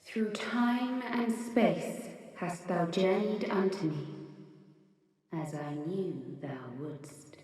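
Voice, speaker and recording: An actress playing a serene, solemn emissary of a goddess in a fantasy game, speaking in stately, archaic, halting phrases. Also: female voice, mid-range voice, darkish tone, close to an everyday voice. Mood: serene, commanding. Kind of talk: fantasy video game cutscene acting. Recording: long cavernous reverb.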